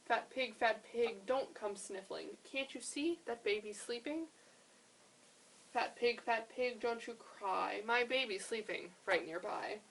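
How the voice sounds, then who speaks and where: A woman's voice: fairly high pitch, slow, fairly bright tone, a little hiss or hum, poor audio. A woman voicing a protective mother in a storybook lullaby, chanting her pleas in rhythm. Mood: chanting, pleading. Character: protective mother shushing animals in lullaby tale.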